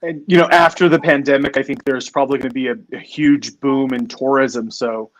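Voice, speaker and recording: A man's voice: medium pitch, steady tone, brisk, poor audio. A man co-hosting a casual chat, speaking in thoughtful, rambling stretches.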